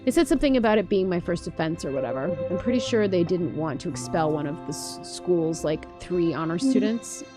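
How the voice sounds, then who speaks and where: A woman's voice: on the low side, lively intonation, brisk, few pauses, lightly acted. A woman voicing a sardonic, world-weary teen student in a visual novel, dry and downbeat. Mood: glum. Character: jaded, sardonic teenage honor student. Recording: music behind the voice.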